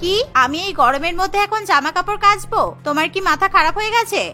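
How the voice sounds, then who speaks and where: A woman's voice: very high-pitched, fast talker, sharp tone, soft background music. A woman voicing a spoiled, crafty elder daughter-in-law in a cartoon, theatrical and whiny in manner. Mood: indignant.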